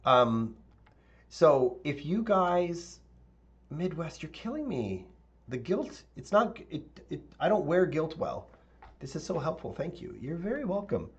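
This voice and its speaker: A male architect teaching a live software tutorial, talking casually with viewers and thinking aloud with fillers; his voice is a little raspy. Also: fairly high pitch, lo-fi sound. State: slightly raspy voice, clearing throat.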